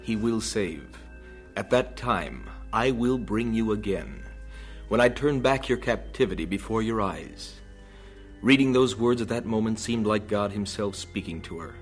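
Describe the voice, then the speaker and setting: A male narrator delivers scripted radio drama narration and announcements. His voice is on the low side, with faint music playing behind him. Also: lively intonation, measured pace.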